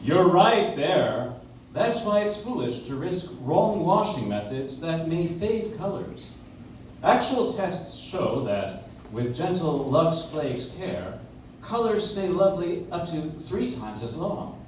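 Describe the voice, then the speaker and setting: An actor playing a genial 1940s radio announcer with a polished sales patter. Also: male voice, a little high, sing-song, slow pace, darkish tone. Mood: selling. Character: genial radio announcer selling soap flakes. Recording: echoey, distant, noisy audience-member recording.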